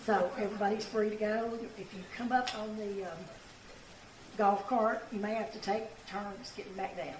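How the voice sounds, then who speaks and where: A raspy-voiced woman, a party chairperson giving plain, folksy closing thanks at an event. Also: mid-range voice, steady tone, normal speed. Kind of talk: closing remarks at a picnic event. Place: indoor event hall. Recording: echoey room, distant mic, noisy.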